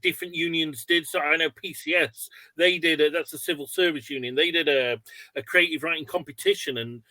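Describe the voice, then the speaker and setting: A man hosting an online webinar in a casual, chatty way, with halting, rambling explanations. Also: on the high side, fairly even intonation, sharp tone, no echo. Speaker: webinar host. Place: indoors on an online video call.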